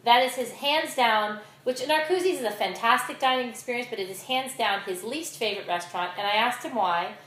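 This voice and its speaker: A woman's voice: a little high, a little fast, hardly any pauses, fairly bright tone. A middle-aged woman co-hosting a podcast, chatting casually with joking asides. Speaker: podcast co-host. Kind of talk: casual chat. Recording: echoey room, faint ambient noise.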